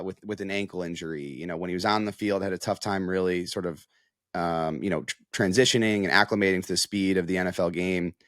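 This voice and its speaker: Lowish-voiced American man analyzing football. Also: a bit bright, dry recording, clean studio sound. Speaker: sports reporter, show guest. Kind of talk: casual sports analysis.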